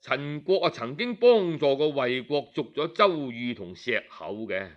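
A man voicing an earnest minister who gives his prince measured, persuasive counsel, in an audiobook tale. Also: mid-range voice, very animated, highs cut off, voice pushed down.

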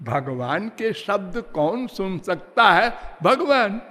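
A man delivers a devotional discourse at a very slow, deliberate pace, stretching words and sometimes lapsing into a sing-song chant. His voice sits fairly high for a man. The sound carries a long reverb, as if from a large hall. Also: very animated, pushed and bright. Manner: slow measured preaching, drawn-out words, half-sung phrases. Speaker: spiritual teacher.

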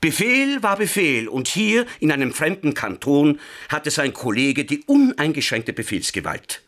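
An actor playing a wry crime-tale narrator in a radio drama, deliberate and relishing each short phrase. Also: male voice, a little high, big pitch swings, a bit bright. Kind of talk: scripted first-person radio drama narration.

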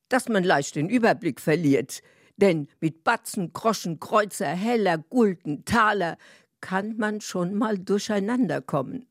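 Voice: mid-range voice, no echo, clean studio sound. A narrator reading a scripted story in a wry storytelling manner.

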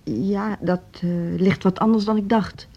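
An actress playing a firm school director in a naturalistic radio drama, speaking in a cool, measured, formal manner. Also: female voice, medium pitch, fairly even intonation, mellow, breathy edge. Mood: evasive, careful. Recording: dull, muffled radio play recording.

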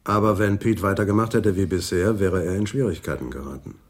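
An actor playing a brisk, probing male police detective in a radio crime drama, direct and businesslike. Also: low voice. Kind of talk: 1980s German radio crime drama dialogue.

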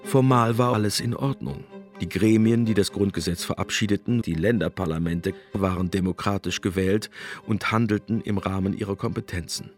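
Low-pitched reflective male narrator. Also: moderate pace, faint music behind. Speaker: narrator, commentator. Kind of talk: scripted essayistic narration.

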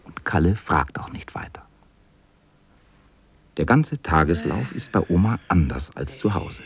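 A man narrating a children's radio play as a calm storyteller with a husky voice. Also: deep voice, a little slow, a little hiss or hum, full character voice. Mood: storytelling.

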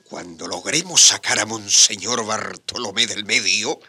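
An actor playing an oily, corrupt colonial landowner, overfamiliar and smug, in a radio drama. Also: male voice, fairly low pitch, measured pace, sharp tone, breathy edge, exaggerated voice. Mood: smug, scheming.

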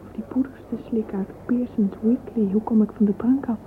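An actress playing a wistful, sentimental young woman in a dreamy, breathy radio-drama soliloquy. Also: fairly high pitch, fairly even intonation, brisk, background noise, natural voice. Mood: mournful, wistful. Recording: muffled phone-like old radio recording.

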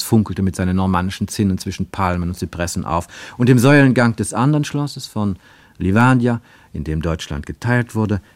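Low-voiced, wry narrator. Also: male voice, no room sound. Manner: measured, wry and ironic. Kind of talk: scripted literary narration; 1950s radio play.

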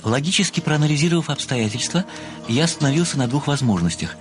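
An actor playing an obsequious, methodical amateur sleuth who speaks formally and deliberately, with flowery deference, in an audio play. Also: male voice, a little high, lively intonation, soft background music, natural voice. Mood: methodical, earnest. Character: obsequious, methodical amateur male sleuth, adoring a famous writer.

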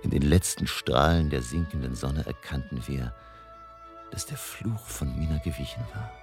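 Grave older male vampire hunter, radio horror drama narrator. Bottomless, creaky voice, solemn and intense, faint score underneath. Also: rumbling low, lively intonation, normal speed. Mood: solemn narrating. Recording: faint music behind the voice.

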